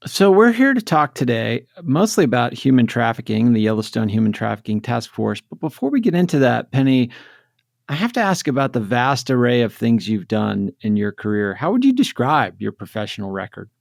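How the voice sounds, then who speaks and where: Male podcast host, gravelly voice, dry close sound, reads a scripted intro then interviews. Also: medium pitch, very animated, a little fast, dry recording.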